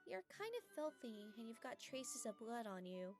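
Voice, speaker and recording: Woman as a gentle, higher-voiced anime heroine. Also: sing-song, normal speed, soft background music. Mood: hesitant, frank. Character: gentle, earnest young fantasy heroine. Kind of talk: anime fan dub acting.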